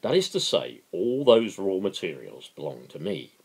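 A man voicing an earnest workman who lectures his workmates in a deliberate, declamatory way, in a dramatic book reading. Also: low voice, sing-song, darkish tone, husky, pitched above the performer's own voice, full character voice. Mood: declaring.

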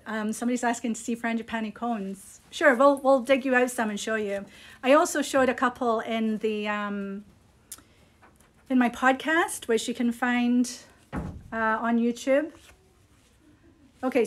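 A woman's voice: a little high, fairly even intonation, unhurried. A middle-aged woman chats casually and unscripted as she presents products on a live shop stream. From Scotland. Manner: chatty, unscripted, appreciative. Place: small indoor room. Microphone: phone at arm's length.

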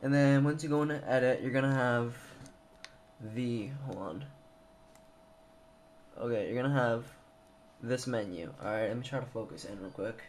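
A young man talks through a casual computer tutorial in an informal, hesitant way, thinking aloud. He has a medium-pitched voice, picked up by a handheld camera mic with some noise. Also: normal speed, pauses often. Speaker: tutorial presenter. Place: indoors at a computer. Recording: noisy handheld camera recording.

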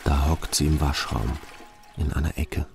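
A man's voice: a bass voice, steady tone, normal speed, gravelly. A man playing a dogged, troubled police investigator in a German crime radio play. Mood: grave, matter-of-fact.

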